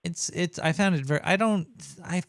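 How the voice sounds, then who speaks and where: A man's voice: high voice, normal speed, a bit bright, no room sound, clean studio sound. A man hosting a show, chatting casually in a cynical, rambling way and swearing freely. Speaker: radio show host. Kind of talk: casual chat.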